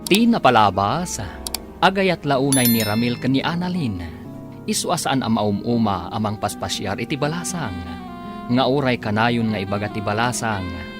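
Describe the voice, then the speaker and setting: A man's voice: medium pitch, expressive, moderate pace, over music. A man with an Ilocano accent narrating a radio drama as its announcer in a dramatic scripted style.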